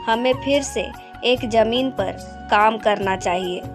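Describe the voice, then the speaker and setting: Encouraging village wife, slow-spoken. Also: female voice, on the high side. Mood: hopeful, encouraging. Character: hopeful, encouraging poor farmer's wife, adult village woman. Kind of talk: moral-story cartoon dubbing. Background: background music.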